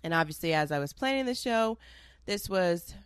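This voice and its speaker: A woman's voice: lowish voice, expressive, moderate pace, clean tone, no echo, studio quality. A young woman hosting her own podcast in a chatty, rambling way, full of asides and the odd curse, sounding a little nasal from a cold. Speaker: podcast host. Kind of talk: casual solo podcast chat.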